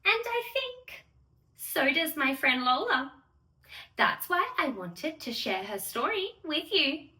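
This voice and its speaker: A woman playing a sweet, excitable young fairy who gushes in a sing-song way to little children as she hosts a bedtime story read-aloud. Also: high voice, takes it slowly, heavily acted. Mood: playful, excited. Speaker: children's storyteller and channel host. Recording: roomy echo, distant microphone.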